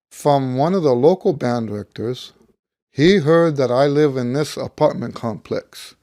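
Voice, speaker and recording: A middle-aged American man, slightly hoarse as he gets over losing his voice, talking casually and ramblingly like a teacher sharing experience. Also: mid-range voice, dark tone, dry recording, clean studio sound. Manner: rambling, conversational, thinking aloud. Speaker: trumpet teacher. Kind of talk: casual talk to camera, personal anecdote.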